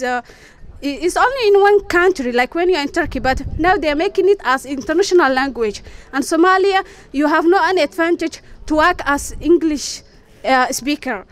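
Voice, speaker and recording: A young woman making a debate point into a handheld mic at an outdoor evening event, pausing often with fillers. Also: high voice. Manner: hesitant, many fillers. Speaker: debate participant. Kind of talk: debate speech.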